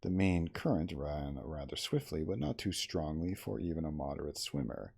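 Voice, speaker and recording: A man reading literary fiction aloud in a measured, theatrical, formal storytelling manner, in an ASMR style. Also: extremely low pitch, creaky, darkish tone. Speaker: audiobook-style reader.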